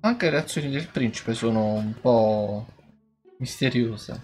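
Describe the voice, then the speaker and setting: A woman's voice: very deep voice, unhurried, darkish tone. A woman streaming a game with casual live commentary. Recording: faint game music behind. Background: faint game music.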